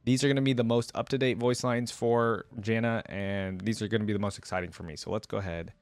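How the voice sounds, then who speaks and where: A man's voice: lowish voice, steady tone, quick pace, no room sound. A man giving casual, slangy and enthusiastic reaction commentary as a host. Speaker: host reacting to game voice lines.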